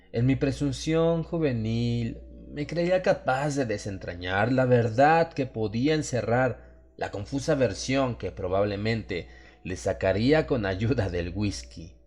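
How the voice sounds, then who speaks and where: Low-voiced man narrating a horror audiobook over music. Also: darkish tone. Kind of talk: dramatic scripted horror story narration. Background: music under the voice.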